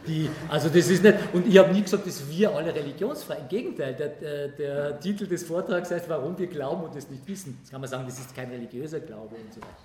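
A man's voice: a little high, darkish tone. Man thinking aloud in an echoey room. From Austria. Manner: halting, self-correcting, breaks off and rephrases sentences. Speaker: guest lecturer answering audience questions. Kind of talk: spontaneous spoken answers in a public discussion. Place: indoor public event room. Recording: echoey room with clear background noise.

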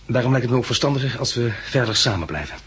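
A man's voice: mid-range voice, lively intonation, fast, a bit bright, husky. An actor playing a cautious, protective, level-headed man among the survivors in a 1960s radio drama. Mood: sober, practical. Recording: old radio recording with faint hum.

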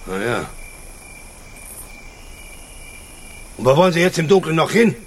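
Gruff, suspicious country patriarch. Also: male voice, on the high side, sing-song, fast talker, slight background noise. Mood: grudging. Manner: blunt, questioning, bossy. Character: gruff, suspicious rural family patriarch with lamp. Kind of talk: radio drama acting in German.

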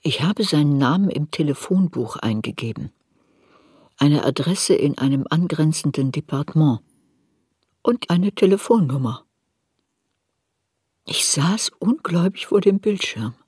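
Older writer recalling her youth, lowish husky voice, reflective, deliberate radio drama narration. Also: lively intonation, a little mellow, dry recording, studio quality, full character voice. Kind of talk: literary radio drama narration, first person.

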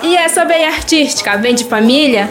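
Female radio host, high voice, from Brazil, upbeat scripted links over faint music. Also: animated, moderate pace. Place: radio recording studio.

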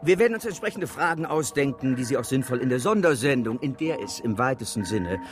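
A man's voice: medium pitch, lively intonation, fairly quick, natural voice. Actor doing a ruthless pr boss guy, glib corporate spin, radio play, music behind him. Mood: smoothly calculating. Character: slick, ruthless male PR agency boss. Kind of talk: German radio drama acting. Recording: music under the voice.

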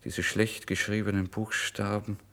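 A man's voice: lowish voice, little pitch movement, unhurried. An actor playing a penniless, emotional writer who recounts his misery in a theatrical, intense German radio drama reading. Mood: brooding, tense. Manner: theatrical, intense, swinging between hushed and exclamatory. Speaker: first-person narrator.

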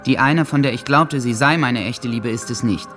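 A man's voice: mid-range voice, normal speed, a bit bright, soft background music, close to an everyday voice. An actor playing a lonely, lovelorn teenage boy, mournful and self-pitying, in a German radio drama. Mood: bitter self-pity. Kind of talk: German radio docudrama monologue.

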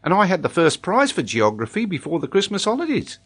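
An earnest young schoolboy voiced by a grown man, polite and proud of his learning. The storybook voice sits very high and sounds deferential, like a pupil reciting a lesson. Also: huge pitch swings, a little fast, fairly bright tone, slightly rough, heavily acted.

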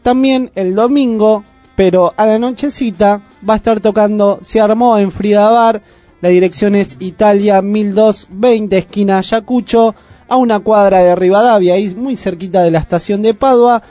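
High-voiced Argentine radio host. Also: male voice, fairly even intonation, mellow, no room sound, soft background music. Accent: Argentine Spanish. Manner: chatty, with frequent "eh" pauses. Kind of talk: casual community radio chat. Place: radio studio.

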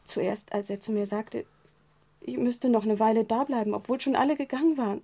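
A weak, frightened and loving young woman in a hospital bed in a 1970s German radio crime drama. Her voice is mellow and very breathy, soft in delivery. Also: fairly high pitch, a little fast. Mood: anxious confiding. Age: young adult.

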